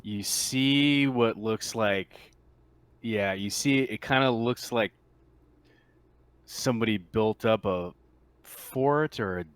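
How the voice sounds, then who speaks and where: Low-voiced man running a tabletop game. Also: slow, long pauses, fairly bright tone, smooth, dry recording. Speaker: game master. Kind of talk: narrating a tabletop roleplaying game session.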